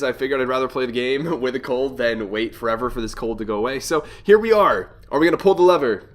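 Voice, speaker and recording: A young man hosting a let's play, chatting casually and thinking aloud as he plays, his voice a little nasal from a cold. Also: mid-range voice. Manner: chatty, thinks aloud, reads game text aloud. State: has a cold, slightly nasal. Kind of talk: casual gameplay commentary.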